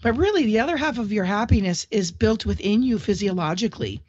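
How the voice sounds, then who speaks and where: A woman hosting a solo talk show, speaking in an upbeat, chatty, encouraging way while getting over a cold and clearing her throat now and then. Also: mid-range voice, lively intonation, fairly quick, darkish tone, no room sound. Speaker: host. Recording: dull dry lo-fi audio.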